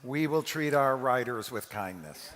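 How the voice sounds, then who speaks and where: A man speaking as a rabbi, informally introducing a dramatic reading to a congregation in a halting, conversational way. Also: medium pitch, expressive, fairly bright tone. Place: large reverberant hall, amplified to an audience. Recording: long hall reverb.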